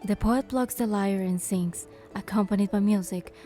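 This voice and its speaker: Casting: woman to play a solemn goddess narrator for a fantasy game, dark warm voice, faint music underneath. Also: mid-range voice, normal speed, natural voice. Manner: solemn, storytelling. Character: wounded goddess narrating her suffering with pride. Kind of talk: dramatic scripted narration in a game.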